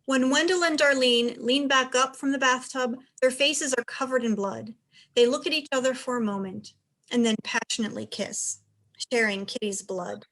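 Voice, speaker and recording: A woman's voice: a little high, a bit bright, poor audio. A woman giving scripted narration, reading out the stage directions of a play.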